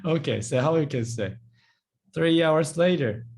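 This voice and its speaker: A male language teacher speaks English. His voice sits fairly high but sounds mellow and dark, with a gravelly edge. His melody swings widely as he walks through examples patiently. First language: Korean. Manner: lively, explains patiently, repeats Korean words slowly, acts out short dialogues. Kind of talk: live online class.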